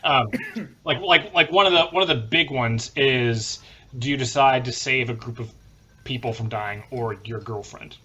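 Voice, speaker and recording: Bright-voiced man in casual podcast chat. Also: mid-range voice, moderate pace, lo-fi sound. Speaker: podcast panelist.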